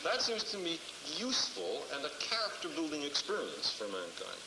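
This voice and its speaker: A man's voice: on the high side, wildly swooping pitch, normal speed. A man, a scientist, giving thoughtful remarks in a dry, wry manner. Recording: echoey room, noisy old film sound.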